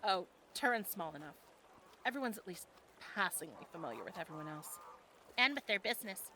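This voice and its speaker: Over clear background noise comes a woman acting as a friendly tavern woman from a medieval fantasy audio adventure. Her voice is bright and forward. She speaks conversationally, teasing a little as she guides a newcomer. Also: on the low side, animated, brisk. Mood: guiding. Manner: conversational, gently instructive.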